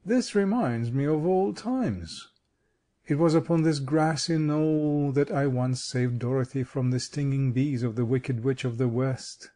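A kindly storybook scarecrow monarch, acted out by a man reading aloud. The tone is mellow and soft-edged, with a middle pitch. He speaks in a thoughtful, courteous way, as if to reassure his friends. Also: a little slow, lightly acted. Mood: fond, reminiscing.

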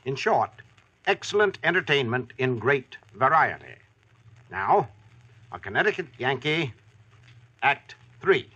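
An American man hosting as a formal, measured announcer in 1940s radio style. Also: mid-range voice, very animated, bright, forceful tone, breathy edge, muffled recording. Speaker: announcer and host.

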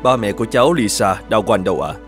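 A man voicing a serious, considerate hospital doctor in a cartoon, speaking in a grave, measured, formal way. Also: lowish voice, lively intonation, soft background music, close to an everyday voice. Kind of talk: animated children's story dubbing.

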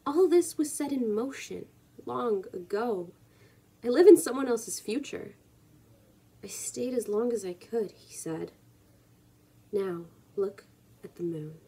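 A woman in her twenties reading poetry and chatting casually on her own video at home, in a measured, deliberate way. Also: fairly high pitch, lively intonation, warm tone, husky. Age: 20s. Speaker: poetry reader and video creator. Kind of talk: spoken word poetry reading with casual introduction. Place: small room at home, indoors.

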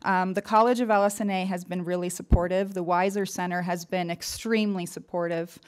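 A woman speaking informally and haltingly as a presenter at a podium in a lecture hall. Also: fairly low pitch, steady tone. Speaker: event presenter. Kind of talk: informal speech introducing speakers and thanking supporters.

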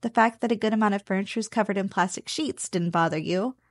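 A woman's voice: mid-range voice, quick pace, no echo, natural voice. A woman playing a playful, teasing slime girl roommate in a casual, chatty ASMR roleplay. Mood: teasing, curious. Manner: teasing, chatty, conversational with chuckles. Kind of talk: ASMR roleplay, one-sided casual conversation.

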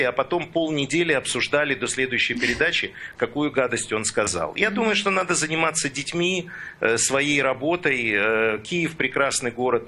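A man's voice: mid-range voice, sharp tone. A middle-aged man joining a radio discussion over a video call on a headset, talking ironically with many fillers. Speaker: journalist guest. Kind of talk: remote discussion contribution. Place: room at home.

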